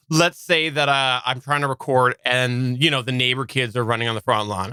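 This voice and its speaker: A man hosts a podcast in a bright, forward voice of medium pitch. He keeps up casual, joking banter, recorded dry and free of echo. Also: clean tone. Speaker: podcast host.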